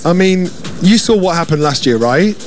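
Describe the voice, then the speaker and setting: Upbeat chatty man, high voice. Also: animated, a little fast, slight background noise. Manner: upbeat, chatty, laughs often. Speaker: festival radio host. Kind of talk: casual interview chat.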